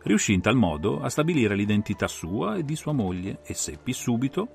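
A man playing a brilliant, self-assured detective who explains a case in a measured, didactic, theatrical way for a dramatised audiobook. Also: mid-range voice, very animated, creaky, darkish tone. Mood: methodical, assured. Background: music.

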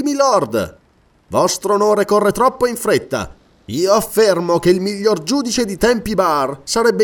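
A man voicing a sly, defiant convict quartermaster in an audiobook, insolent and emphatic in manner. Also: medium pitch. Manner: insolent, challenging rhetorical questions, emphatic. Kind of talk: dramatic audiobook character reading.

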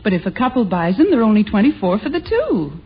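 A woman's voice: a little high, big pitch swings, fast, breathy edge, full character voice. An actress playing an earnest, sweetly stubborn suburban wife and mother in a 1950s radio sitcom. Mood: insistent. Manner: earnest, insistent. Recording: dull, noisy vintage radio broadcast.

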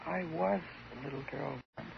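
An American actress playing an intense, unstable psychotherapy patient, theatrical and emotionally volatile, in a 1950s radio drama. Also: female voice, low-pitched, moderate pace, gravelly. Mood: wistful. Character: intense, unstable woman psychotherapy patient in love with her doctor. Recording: dull, hissy 1950s radio recording.

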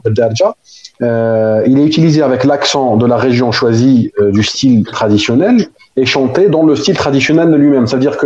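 A man's voice: medium pitch, darkish tone, highs cut off. A man from Algeria, a metal band singer, answering interview questions casually with many fillers and restarts.

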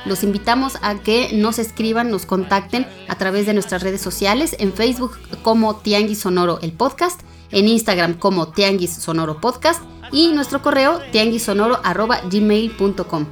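A woman co-hosting a podcast, introducing songs and guests in a friendly way with small thinking pauses. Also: mid-range voice, expressive, soft background music. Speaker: podcast co-host. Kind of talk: radio-style podcast hosting.

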